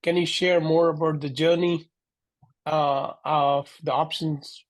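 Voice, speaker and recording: A man hosting a podcast, reading a quick promo and then asking casual interview questions with fillers. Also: fairly high pitch, little pitch movement. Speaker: podcast host.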